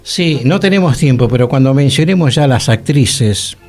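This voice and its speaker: An Argentine man with a Rioplatense accent talks as a panelist on a radio show. His pitch is average and his phrasing is courteous and a little formal. Faint music runs underneath. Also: lively intonation, moderate pace. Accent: Argentine Rioplatense Spanish. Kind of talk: conversational radio talk.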